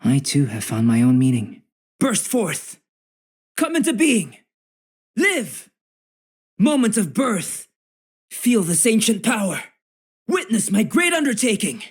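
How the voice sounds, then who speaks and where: A man voicing a calm, scholarly young alchemist in a video game, speaking in a measured, reflective and softly formal way. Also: high voice, breathy edge, full character voice. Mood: gentle, philosophical, then commanding battle cries. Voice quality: gentle, calm. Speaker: voice actor playing a video game character. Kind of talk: scripted game character voice lines.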